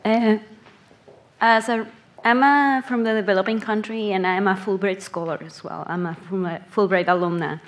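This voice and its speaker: A young woman from El Salvador asking a question from the floor of a lecture hall, halting and searching for words at an audience mic. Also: mid-range voice, steady tone. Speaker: audience member, PhD student. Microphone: audience mic on stand.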